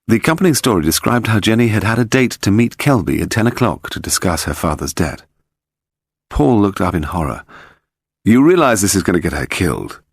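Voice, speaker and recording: A man's voice: low-pitched, dry recording, studio quality. A British man reading scripted story narration as an audiobook narrator.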